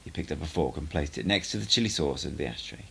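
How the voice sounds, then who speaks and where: A man narrating an audiobook in an expressive, measured storytelling manner. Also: extremely low pitch, creaky, slight background noise. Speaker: audiobook reader.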